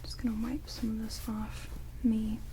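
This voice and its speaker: A woman playing an ancient, quirky vampire in an ASMR roleplay, speaking in halting, hushed whispers and soft murmurs. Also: fairly high pitch, level tone, slight background noise, lightly acted. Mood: gently caring. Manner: hushed, rambling, halting, drawn-out words. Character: ancient vampire, quirky, blunt yet caring, bandaging wounds. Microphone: close binaural microphones. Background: heavy rain.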